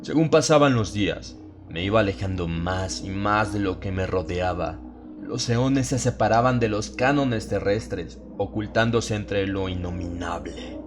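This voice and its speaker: Male narrator for horror audiobook: lowish register, ominous and theatrical, measured phrasing, clear atmospheric music underneath. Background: atmospheric music bed.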